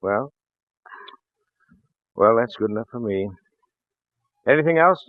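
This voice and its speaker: An actor playing a folksy, shrewd small-town police lieutenant in a 1940s radio drama, hesitant and apologetic in manner. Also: male voice, medium pitch, lively intonation, slow, no room sound. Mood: brisk, businesslike. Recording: muffled vintage radio recording, phone-like band.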